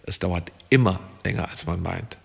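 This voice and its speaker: A man in his sixties speaks with a gravelly, creaky voice, candid and reflective as he recounts his path. His words carry a long reverb from the room around him. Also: low voice, moderate pace. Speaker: estate owner, farmer and former journalist. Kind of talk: conversational interview answers.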